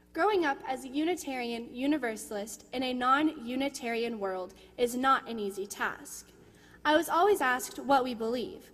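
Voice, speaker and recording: A teenage young woman reading a prepared personal testimonial aloud in a church service. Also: fairly high pitch. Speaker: youth member giving a testimonial. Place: large indoor church sanctuary. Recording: reverberant church hall.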